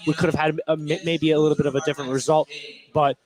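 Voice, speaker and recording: A man's voice: on the high side, little pitch movement, fast talker, darkish tone, rough recording. An American man giving casual live analysis as a basketball color commentator.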